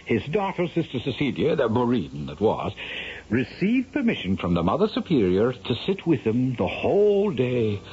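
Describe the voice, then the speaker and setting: An actor playing a wily old Irish storyteller in a radio drama, with a coaxing, rambling, theatrical brogue. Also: male voice, medium pitch, huge pitch swings, moderate pace, darkish tone. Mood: dramatic narrating. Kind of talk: 1970s radio drama acting. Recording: dull, lightly noisy vintage radio recording.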